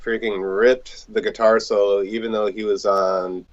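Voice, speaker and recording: A man's voice: fairly low pitch, little pitch movement, measured pace. A man telling band stories casually in an interview, with laid-back slangy phrasing. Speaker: band member, singer and guitarist. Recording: dull, lo-fi recording.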